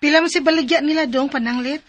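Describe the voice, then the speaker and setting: A woman's voice: high-pitched, fairly even intonation, quick pace, loud music behind the voice, natural voice. An actress with a Cebuano Filipino accent playing a practical, supportive wife and mother in a radio drama, speaking encouragingly.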